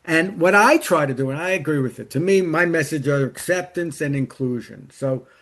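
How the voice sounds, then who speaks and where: A man's voice: on the high side, normal speed, a bit bright. A middle-aged man, an interview guest, telling personal stories and giving advice in a conversational way, acting out bits of dialogue as he goes.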